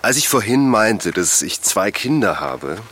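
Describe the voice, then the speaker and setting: A middle-aged man acting a calm father of two in an audio drama, giving short, dry answers. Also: lowish voice, measured pace, exaggerated voice. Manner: calm, dry, brief replies. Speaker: local villager.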